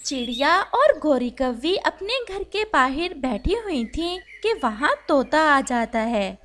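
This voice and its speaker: A woman's voice: sits high, big pitch swings, takes it slowly, a bit bright, slight background noise. A woman narrating a scripted children's story.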